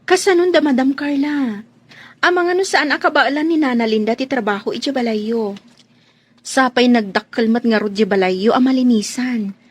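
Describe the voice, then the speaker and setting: High-voiced shy young housemaid played by an actress. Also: female voice, normal speed, husky. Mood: worried, polite. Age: young adult. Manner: coy, hesitant, polite. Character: shy, deferential young housemaid, playful and timid. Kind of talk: radio drama acting.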